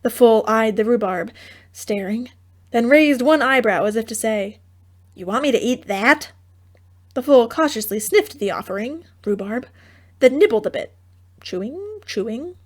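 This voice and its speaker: Casting: female audiobook narrator for comic fairy tale, higher-pitched voice, droll and theatrical. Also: lively intonation, normal speed, darkish tone. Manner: theatrical, droll storytelling with wry asides.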